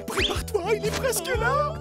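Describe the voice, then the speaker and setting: Cartoon animal sidekick, extremely high, worried, excitable, loud music behind. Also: moderate pace. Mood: urgent. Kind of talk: French-dubbed cartoon acting.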